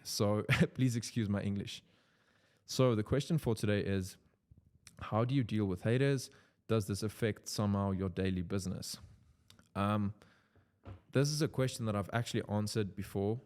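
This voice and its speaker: A man's voice: on the low side, a little mellow. A young man from South Africa with a South African accent, an Afrikaans speaker talking in English in a loose, unscripted vlog full of "like" fillers. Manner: loose and rambling, many "like" fillers. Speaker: content creator. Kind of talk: unscripted casual vlog talk.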